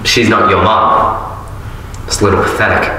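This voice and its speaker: A man's voice: fairly low pitch, flat delivery, moderate pace, pushed and bright, slight background noise. An actor playing a snide young man needling a friend. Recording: echoey hall, distant.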